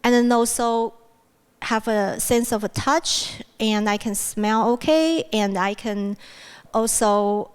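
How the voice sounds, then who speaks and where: A woman whose first language is Mandarin leads a guided grounding exercise at a podium microphone, speaking gently with many fillers. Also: a little high, clean tone. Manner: gentle, unhurried, with frequent fillers and restarts. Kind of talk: guided mindfulness exercise at a plenary. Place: university event room with a loud air-conditioning fan.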